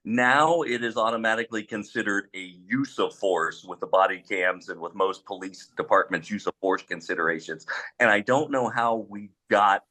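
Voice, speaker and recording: A middle-aged man speaking on a discussion panel as an experienced police trainer, in a measured way with pauses and firm opinions. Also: on the low side, sharp tone, poor audio. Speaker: panelist, former police officer.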